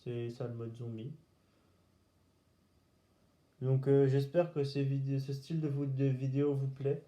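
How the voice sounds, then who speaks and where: A man giving casual gaming commentary in a halting, hesitant way, searching for words. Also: medium pitch, fairly even intonation, mellow. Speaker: gaming video host. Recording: roomy echo, faint background noise.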